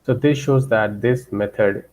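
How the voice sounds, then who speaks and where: A man presenting scientific results as a lecturer, haltingly, often repeating and rephrasing his phrases. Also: on the low side, darkish tone, low-quality recording. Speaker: researcher giving a guest lecture.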